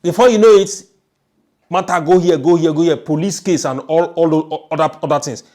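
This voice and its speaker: A man's voice: a little high, a little fast, warm tone. A man with a Nigerian accent giving informal, emphatic storytelling commentary, often repeating his phrases. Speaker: online news commentator.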